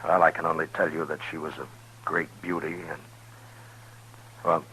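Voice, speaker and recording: An actor playing a grave, weary frontier lawman in 1950s radio western style, speaking with restraint and deliberate pauses. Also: extremely low pitch, flat delivery, pushed and bright, slight background noise, poor audio. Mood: grave, earnest. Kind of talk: 1950s radio western acting with first-person narration. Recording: 1950s radio broadcast with music.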